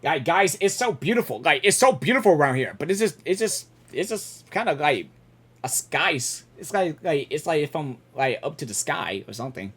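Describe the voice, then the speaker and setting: A woman gaming streamer giving casual live reactions in an informal, halting, exclamatory way. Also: low-pitched, sing-song, fairly bright tone, slightly rough.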